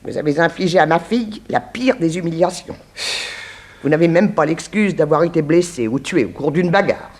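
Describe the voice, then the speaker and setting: A woman's voice: low voice, moderate pace, a little mellow. An actress playing a haughty, disapproving middle-aged mother-in-law with a pompous, sarcastic delivery in 1960s French radio drama. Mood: icy scorn.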